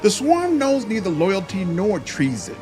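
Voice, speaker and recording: A man's voice: sits high, huge pitch swings, unhurried, voice pushed up, heavily acted. A man voicing a mocking, fanatical demon servant in a fantasy video game, theatrical, sneering and fervent. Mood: defiant scorn. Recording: music behind the voice.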